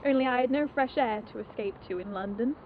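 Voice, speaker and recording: A woman's voice: fairly high pitch, close to an everyday voice. A young woman playing an intense, repressed aristocratic spinster haunted by her youth, in a theatrical confessional monologue. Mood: anguished. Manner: theatrical, confessional, deliberate phrasing. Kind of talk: dramatic monologue. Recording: tinny band-limited noisy amateur film sound.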